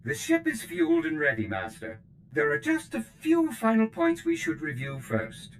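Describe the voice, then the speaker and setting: A servile steward droid in a video game, prim, chirpy and desperate to please. The voice sits high and leaps wildly up and down as he fusses over every detail, with a faint hiss underneath. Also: wildly swooping pitch, moderate pace, full character voice, rough recording. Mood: eager servile. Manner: obsequious, formal and chirpy. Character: fussy male steward droid, eager to serve.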